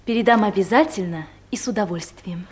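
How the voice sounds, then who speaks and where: A woman playing a brisk, pert young secretary in a radio comedy drama, businesslike and slightly arch. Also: mid-range voice, a little mellow, a little hiss or hum, natural voice. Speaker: actor in an audio play.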